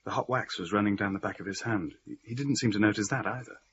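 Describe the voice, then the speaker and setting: An actor playing an earnest, rational-minded man, telling of a haunting in measured radio-play narration. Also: on the low side, natural voice. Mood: measured, intrigued. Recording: slightly muffled, faint background noise.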